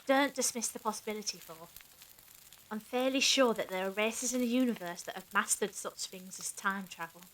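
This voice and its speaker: A woman plays a calm-minded doubter among stranded captives. She speaks at a medium pitch with a measured, questioning manner. The fan audio drama carries faint noise. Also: close to an everyday voice, rough recording. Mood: reasoning.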